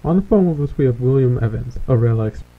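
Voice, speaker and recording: A man's voice: mid-range voice, lively intonation, quick pace, noisy background, lightly acted. A man playing a composed small-town TV news anchor, giving a formal, matter-of-fact scripted report. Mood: composed, serious. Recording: muffled lo-fi VHS news tape.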